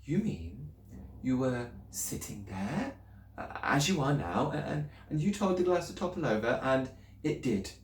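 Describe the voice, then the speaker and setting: A man reading aloud and voicing a kind young woman schoolteacher who speaks gently and patiently. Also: mid-range voice, sing-song, unhurried, slight background noise, pitched above the performer's own voice. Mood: gentle. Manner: gentle, patient, softly questioning. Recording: roomy, distant mic.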